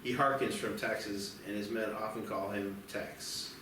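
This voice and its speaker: A man's voice: fairly low pitch, steady tone, normal speed, background noise. A man reading scripted screenplay directions as the narrator of a table read. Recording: very echoey, distant, music behind.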